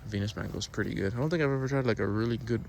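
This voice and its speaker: A man's voice: mid-range voice, racing, gravelly, darkish tone. A man from Miami, born in Cuba, talking casually and conversationally as he gives an unscripted tour outdoors in his backyard. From born in Cuba, raised in Miami. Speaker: host giving a tour of home fruit garden. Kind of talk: casual unscripted vlog narration. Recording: noisy outdoor recording with ambient sound.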